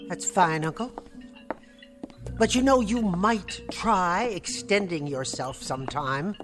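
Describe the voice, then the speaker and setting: A woman's voice: fairly low pitch, lively intonation, slow, music in the background. An actress playing a weary, resentful middle-aged niece who answers her uncle with clipped, sarcastic retorts. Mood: tart, weary. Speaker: put-upon niece and housekeeper. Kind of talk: 1960s TV drama acting.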